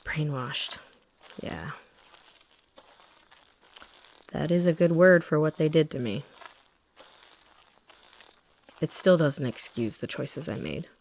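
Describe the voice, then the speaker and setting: A woman acting as a young female hunter who has turned rebel, speaking in a low register. She is gentle and confiding, carrying guilt, in the intimate manner of roleplay audio drama. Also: moderate pace, gravelly. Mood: remorseful, tender. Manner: soft, confiding, addressing the listener directly.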